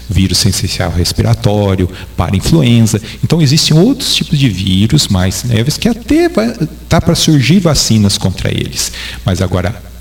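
A man's voice: on the low side, vocal fry, darkish tone. A man with a Brazilian Portuguese accent, a doctor answering interview questions in a patient, didactic way. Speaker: doctor guest.

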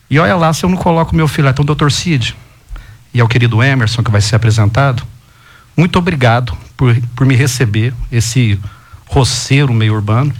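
A man, a guest on a talk show, chatting casually and telling his family story with lively rising emphases. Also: mid-range voice. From Goiás, Brazil. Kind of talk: casual chat.

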